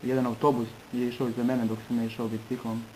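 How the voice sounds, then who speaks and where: A man from Serbia giving an earnest personal testimony in short phrases, pausing between them for an interpreter. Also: mid-range voice, level tone, darkish tone, slight background noise, low-quality recording.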